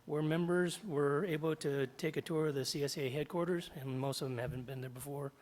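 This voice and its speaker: A middle-aged man, slightly hoarse after jaw surgery, reading prepared remarks at a podium in a large meeting hall and stopping often to clear his throat. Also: fairly high pitch, steady tone, brisk, darkish tone, slight background noise. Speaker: union chapter president. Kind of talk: public comment at a board meeting. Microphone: podium microphone.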